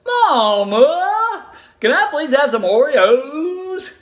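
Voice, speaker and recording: A woman's voice: sits high, big pitch swings, pitched above the performer's own voice, exaggerated voice. A woman voicing a whiny little girl who drags out her pleas for treats. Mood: pleading. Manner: pleading, drawn-out begging.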